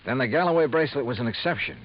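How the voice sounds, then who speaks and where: A man's voice: medium pitch, expressive, racing, close to an everyday voice. An actor playing a dry, wisecracking male insurance investigator in a 1950s radio drama, heard through a dull, hissy old recording. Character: wry hard-boiled male insurance investigator.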